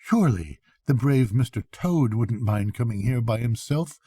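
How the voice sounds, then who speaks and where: A man's voice: medium pitch, expressive, normal speed, dark tone, husky, dry recording, studio quality. A man voicing a small, timid, frightened male mole in a storybook reading, with a feeble, plaintive manner.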